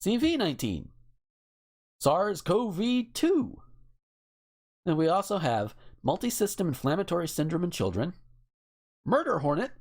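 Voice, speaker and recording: A man's voice: sits high, wildly swooping pitch, a little slow, long pauses, a little mellow. A man hosting a casual podcast, reading out lists and adding informal, rambling asides. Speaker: podcast host.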